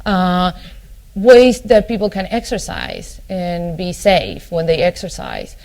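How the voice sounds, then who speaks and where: A woman's voice: fairly low pitch, very animated, slow, a little mellow. A middle-aged woman from Argentina with an Argentine Spanish accent, a family physician giving a conference keynote, often stopping on ums and "you know" and restarting her phrases. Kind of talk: conference lecture.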